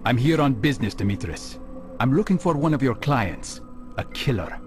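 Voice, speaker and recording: An actor playing a composed, righteous assassin in a video game, speaking in a stern, measured, direct way. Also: male voice, mid-range voice, sing-song, a little mellow, faint music behind, natural voice. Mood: cool, insistent.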